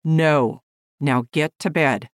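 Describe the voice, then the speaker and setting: A woman's voice: low voice, slow, no echo, clean studio sound. A woman voicing a flustered frontier father who stammers apologies. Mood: firm.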